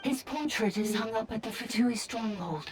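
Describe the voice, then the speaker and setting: A woman's voice: on the high side, normal speed, music in the background, lightly acted. A woman voicing an emotionless female robot in a video game, giving clipped, factual reports. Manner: clipped, flat report-like statements. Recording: distant voice, clearly noisy, clicking signal.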